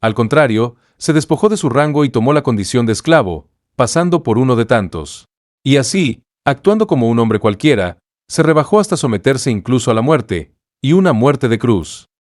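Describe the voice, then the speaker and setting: A man reading scripture aloud in a calm, even way. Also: medium pitch, normal speed, dry recording, clean studio sound. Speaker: reader.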